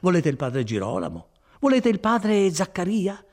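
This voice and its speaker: A man voicing a placid, folksy friar in an audiobook, chattering on with unhurried reassurance. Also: high voice, wildly swooping pitch, voice pushed up. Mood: coaxing, reassuring. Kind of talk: dramatised audiobook reading.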